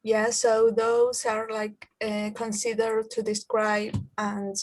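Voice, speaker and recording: Young woman reading out questions slowly, kinda high voice. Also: completely flat delivery. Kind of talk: class discussion.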